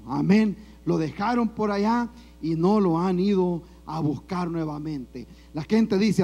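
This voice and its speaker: A man's voice: high-pitched, a little mellow. A man with a Salvadoran accent preaching a sermon in church, punctuating his points with frequent amens and calls to the congregation. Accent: Salvadoran Spanish. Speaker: pastor.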